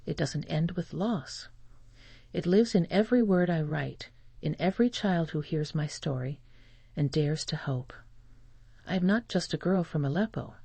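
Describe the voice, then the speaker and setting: Soft, creaky storybook narrator with mid pitch. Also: normal speed, no echo. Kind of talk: scripted first-person story narration for language learners.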